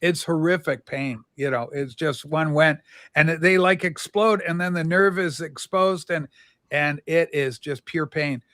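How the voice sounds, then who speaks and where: A woman co-hosting a casual livestream chat, teasing and excitable, laughing often. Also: deep voice, measured pace, dry recording, studio quality. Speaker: livestream co-host.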